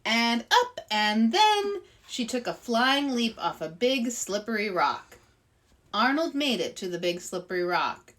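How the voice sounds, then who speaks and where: A woman reads a children's story aloud with dramatic expression. Her voice is fairly high and very animated, and she takes her time over the words. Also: a little slow, recorded from a distance. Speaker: author reading aloud, host.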